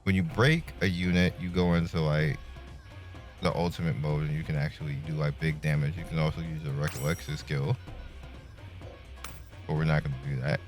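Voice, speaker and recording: A man's voice: very deep voice, fairly even intonation, moderate pace, long pauses. A man giving casual, laid-back, slangy gameplay commentary. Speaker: gaming content creator. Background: faint game music.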